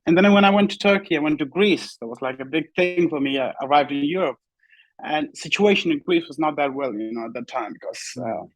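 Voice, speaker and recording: A man's voice: a little high, a little fast, no room sound, low-quality recording. Young man with a Pashto first language. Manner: relaxed, repeats "you know" often, simple non-native phrasing. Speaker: bodybuilding competitor, guest. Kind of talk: casual interview talk.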